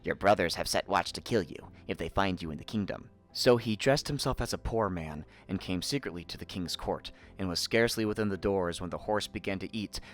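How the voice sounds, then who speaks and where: A man narrating a fairy tale in an expressive, theatrical storytelling manner. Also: fairly low pitch.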